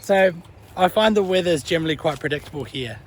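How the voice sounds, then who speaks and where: A man's voice: fairly high pitch, quick pace, a little hiss or hum. A young man with a New Zealand accent, chatting casually in a vlog while walking his dog by a creek. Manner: chatty, relaxed, uses Kiwi slang. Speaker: vlogger. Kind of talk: casual walk-and-talk vlog.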